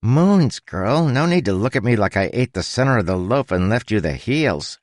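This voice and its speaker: A man's voice: fairly low pitch, sing-song, normal speed, no room sound, clean studio sound, voice pushed up. A man voicing a small, chatty male rat who is bossy, fussy and theatrical, in a storybook narration. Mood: cheeky. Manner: fussy, dramatic, exasperated.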